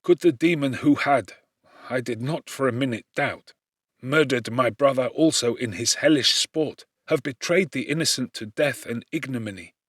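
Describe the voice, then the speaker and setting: A man narrating a classic novel as an audiobook, in a formal, expressive and dramatic reading manner. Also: medium pitch, normal speed, no echo, clean studio sound.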